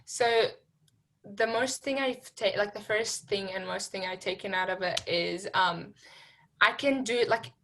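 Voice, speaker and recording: A young woman answers interview questions casually. Her voice is bright and forward, and she peppers her informal storytelling with "like". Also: medium pitch, measured pace, slight background noise. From born in Iraq, raised partly in Syria, moved to Australia. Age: young adult. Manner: informal, heavy use of "like". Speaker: program graduate, interviewee.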